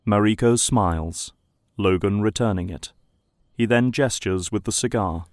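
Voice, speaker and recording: A man's voice: fairly low pitch, unhurried, darkish tone, no echo, studio quality. A man narrating an audio drama in a scripted, dramatic storytelling style.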